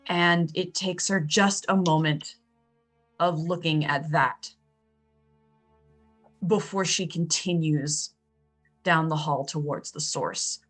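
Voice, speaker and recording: A woman playing a tabletop roleplay game, improvising third-person story narration in measured, dramatic short phrases. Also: sits low, fairly bright tone, soft background music.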